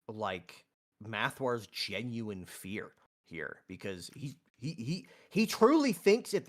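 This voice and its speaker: A man playing a tabletop roleplaying game, explaining his character in a halting, earnest way and often repeating words. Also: mid-range voice. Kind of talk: casual in-game roleplay discussion.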